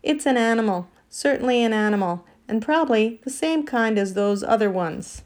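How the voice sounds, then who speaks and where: A woman's voice: a little high, expressive, normal speed, darkish tone, close to an everyday voice. Woman voicing a stubborn, indignant bulldog. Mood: certain. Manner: blunt, insistent, argumentative. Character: stubborn, indignant male bulldog who trusts his nose. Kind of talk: storybook character voice.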